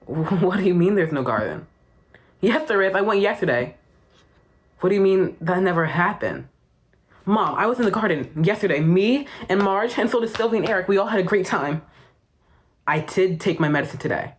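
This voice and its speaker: A woman's voice: fairly low pitch, natural voice. A woman playing a childlike young patient who stammers and pleads with her mother.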